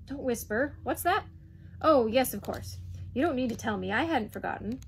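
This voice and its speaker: A woman's voice: a little high, normal speed, a little hiss or hum, lightly acted. Woman putting on a blustering old Yorkshire duke. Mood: testy. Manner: fuming, snorting, roaring.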